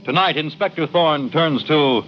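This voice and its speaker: A man's voice: on the high side, a little slow. A man announcing and narrating a 1950s radio drama in a formal, dramatic scripted style. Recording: muffled old radio broadcast. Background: dramatic music.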